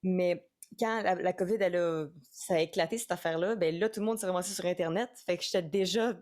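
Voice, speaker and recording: A woman's voice: lowish voice, quick pace, a little mellow. A young woman with a Quebec French accent, a singer-songwriter chatting casually in an interview and often restarting her words.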